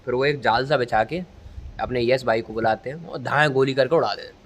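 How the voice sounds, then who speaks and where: A man's voice: mid-range voice, brisk. A young man gives a casual, comic movie review in mock-formal storytelling style, recorded on a phone. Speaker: vlogger and amateur film reviewer. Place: outdoors at a railway station at night. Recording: noisy outdoor ambience on phone.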